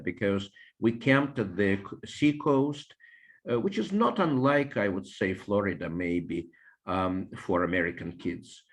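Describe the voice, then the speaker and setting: An older man with a Russian accent, a biologist answering interview questions in a measured way, with frequent fillers and restarts. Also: lowish voice. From Soviet Union, Russia (Siberia) and Ukraine.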